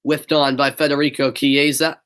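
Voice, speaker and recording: A man's voice: a little high, flat delivery, normal speed, fairly bright tone, smooth. A young man from the northeastern United States with a stuffy nose, calling live play-by-play and chatting casually with viewers. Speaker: play-by-play commentator. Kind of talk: live amateur sports commentary.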